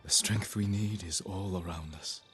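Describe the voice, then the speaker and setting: Stoic samurai lord, real low voice, terse and commanding, game cutscene w music going. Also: male voice, fairly even intonation, normal speed. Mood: solemn. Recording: loud cinematic music behind. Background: game score music.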